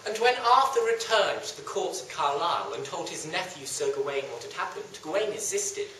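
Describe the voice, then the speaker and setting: A storyteller giving theatrical scripted narration, with dramatic, drawn-out emphasis. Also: mid-range voice, sing-song, pushed and bright. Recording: echoey room, distant mic, faint noise.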